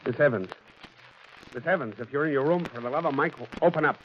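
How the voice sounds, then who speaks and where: An American actor playing a heavyset, gruff, suspicious private detective in a terse, hard-boiled 1940s radio drama style. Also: male voice, a little high, fast talker, natural voice. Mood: hard, accusing. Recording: muffled, noisy vintage radio recording.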